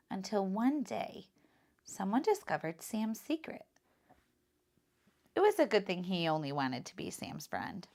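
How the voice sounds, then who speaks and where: A woman reading a children's picture book aloud as a teacher, in an expressive, instructive style. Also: medium pitch, creaky.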